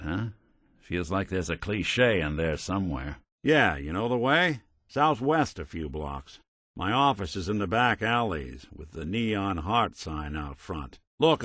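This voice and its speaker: A man's voice: lowish voice, fairly bright tone, dry recording. A man playing a world-weary, middle-aged android private detective in a video game, with a dry, laconic, wry noir delivery. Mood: dry, matter-of-fact, then steady, reassuring.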